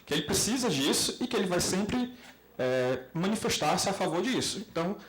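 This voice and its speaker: A man putting a question at an academic discussion, reflective and pausing mid-sentence as he builds his argument. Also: sits high, sing-song. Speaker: participant asking a question at an academic panel.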